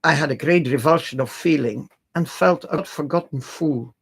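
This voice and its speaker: Someone reading an old first person adventure novel out loud, mid pitched voice. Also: moderate pace, gravelly, breathy edge. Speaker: reader.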